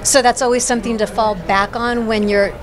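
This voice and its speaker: A woman's voice: fairly high pitch, fairly even intonation, brisk. A middle-aged woman hosting an interview, asking questions and affirming her guest, speaking into a handheld mic. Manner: supportive, affirming. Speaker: interviewer host. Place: event backdrop, crowded venue. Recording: crowd chatter behind.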